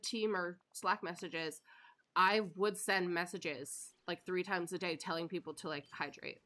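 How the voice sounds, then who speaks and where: Woman, 30s, live streamer: rambling storyteller, casual swearing, crisp and tense on top of a slightly low pitch. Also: bright, forceful tone. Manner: chatty storyteller, swears casually, laughs often. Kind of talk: casual chat while gaming.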